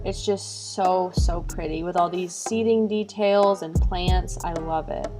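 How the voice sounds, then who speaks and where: A woman's voice: on the low side, fairly even intonation, a little slow. A woman co-hosting a game tour, chatting casually and reacting with enthusiasm. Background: background music.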